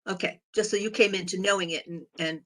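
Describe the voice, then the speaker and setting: A woman chairs a public board meeting in a hoarse, raspy voice. She moves through the agenda haltingly and fills her pauses with ums. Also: mid-range voice, steady tone, a bit bright. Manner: halting, with many fillers.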